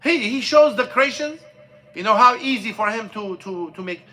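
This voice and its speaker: High bright-voiced man teaching a class. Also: expressive, moderate pace. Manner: plain, repetitive explaining with restarts, asks the class questions. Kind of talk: informal religious lesson. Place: mosque classroom.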